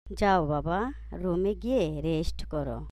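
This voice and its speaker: Woman acting a low-voiced, devout, caring mother. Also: unhurried, darkish tone, no room sound, close to an everyday voice. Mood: caring, reassuring. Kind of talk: scripted Islamic cartoon dialogue.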